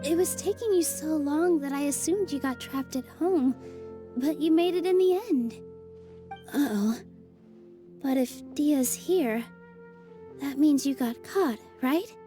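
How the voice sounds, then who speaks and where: A cheerful, eager young dancer chatters in a bubbly, high voice. Her tone stays warm and mellow. Game music runs faintly underneath. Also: measured pace, long pauses. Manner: bubbly, animated. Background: game soundtrack music.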